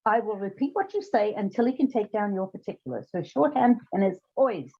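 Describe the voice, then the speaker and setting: An actress playing a brisk, wry woman who runs an odd job agency in an old-time radio comedy. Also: medium pitch, animated, husky. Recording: slightly dull, muffled sound.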